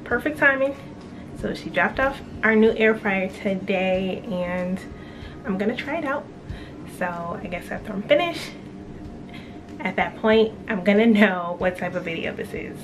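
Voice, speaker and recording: A woman's voice: mid-range voice, unhurried, over music. A young woman vlogging casually from her home kitchen, chatty and self-deprecating, getting over a cold. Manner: relaxed, chatty, self-deprecating, many fillers. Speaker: vlogger reviewing an air fryer. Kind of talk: casual cooking vlog.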